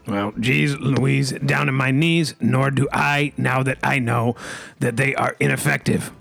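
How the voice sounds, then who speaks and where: Gravelly mock-pompous man. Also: mid-range voice, fairly even intonation, unhurried, a bit bright, faint music behind. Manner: rambling, folksy catchphrases, mock-pompous. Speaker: comedy podcast host. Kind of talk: improvised comedy banter.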